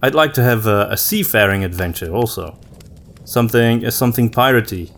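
A man with a fairly low voice hosts a relaxed, conversational podcast. He thinks out loud, leaving pauses as he puts his thoughts together. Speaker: podcast host. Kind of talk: casual conversational podcast chat.

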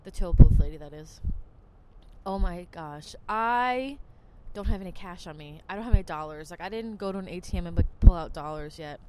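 A woman's voice: on the low side, brisk, noisy background. A woman from New Jersey, a podcast host telling rambling stories in casual chat. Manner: chatty, rambling, lots of "like". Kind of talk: casual storytelling monologue.

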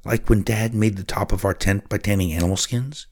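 A man's voice: on the low side, fairly even intonation, brisk, voice pushed up, close to an everyday voice. A man reading the lines of a frightened, curious five-year-old boy who asks anxious questions.

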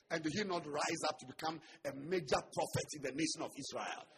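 Animated Ghanaian preacher, high and bright. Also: male voice, moderate pace, slight background noise. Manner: animated preaching that swings from quiet asides to shouting, with humor. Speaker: pastor. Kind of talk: sermon.

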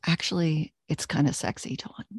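A woman's voice: sits low, fairly even intonation, moderate pace. A woman hosting a podcast, chatty and friendly as she interviews her guest, laughing often. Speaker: podcast host. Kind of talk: casual interview.

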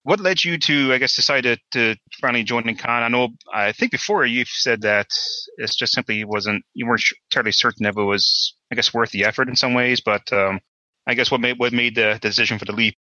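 A man hosting a casual interview, asking halting, rambling questions. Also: medium pitch, sharp tone, dry recording, dull audio. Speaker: podcast host, interviewer.

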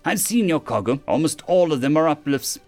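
Man voicing a brash, high-pitched sarcastic woman. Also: animated, brisk, darkish tone, soft background music. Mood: challenging. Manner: flippant, casual, sweary. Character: defiant, sarcastic, brash human woman fighter.

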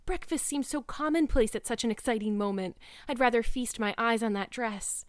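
Woman as an excitable high-voiced dreamy young girl. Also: moderate pace, dry recording, studio quality. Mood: rapturous, earnest. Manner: gushing, exclamatory, wordy.

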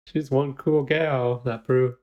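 A man's voice: mid-range voice, fairly even intonation, takes it slowly, highs cut off. Giggly, chatty man recapping a show. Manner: chatty, giggly, lots of fillers. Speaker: podcast co-host. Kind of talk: casual recap chat.

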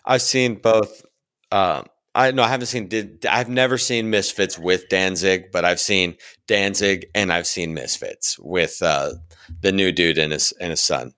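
Guy podcast host, lowish voice, dry sound, swears and says yo, gets into it. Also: measured pace, no room sound. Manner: slangy, swearing, excitable fan talk. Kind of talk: casual podcast chat.

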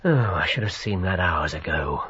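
A man playing a brilliant, restless, impatient detective in a radio drama, muttering excitably and repeating words. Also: sits low, normal speed, breathy edge, full character voice. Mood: frantic excitement. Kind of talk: British period radio drama acting. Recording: dull radio recording, faint noise.

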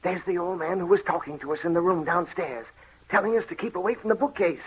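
An actor playing a deferential, nervous and grumbling manservant in 1930s radio style. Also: male voice, high voice, fast, slightly rough. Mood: alarmed. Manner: obedient, anxious. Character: deferential, nervous, grumbling male servant named John. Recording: tinny, noisy 1930s radio recording.

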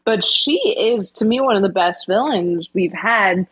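A woman co-hosting a podcast, giving measured, analytical and opinionated takes. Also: mid-range voice, fairly bright tone, husky, rough recording. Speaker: podcast co-host. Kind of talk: casual discussion.

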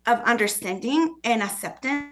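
A woman's voice: fairly high pitch, expressive, moderate pace. A woman with a foreign accent, a guest author speaking earnestly in a conversational interview, often acting out what others say. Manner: earnest, acts out quoted voices.

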